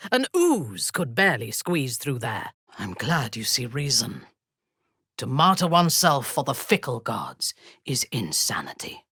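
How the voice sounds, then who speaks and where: A woman playing an imperious, ruthless dark elf warrior in a video game, speaking in a haughty, deliberate, formal manner with cold menace. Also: rumbling low, breathy edge, no echo, clean studio sound, heavily acted. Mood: stern, contemptuous.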